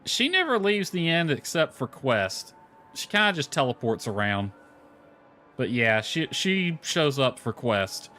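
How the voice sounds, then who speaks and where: Male gamer, mid-pitched, offhand and conversational, narrating a playthrough over background music. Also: very animated, measured pace, pushed and bright. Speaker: gamer commenting on own playthrough. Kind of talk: casual game commentary, reading dialogue aloud. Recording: lo-fi music playing behind.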